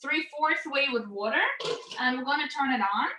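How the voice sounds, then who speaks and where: Russian lady doing a chatty cooking demo, bright highish voice, echoey room. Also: normal speed, a little hiss or hum. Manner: chatty, explanatory. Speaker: home cook leading an online cooking class. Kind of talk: casual cooking demonstration. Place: indoors at home, cooking. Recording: roomy, echoey home sound.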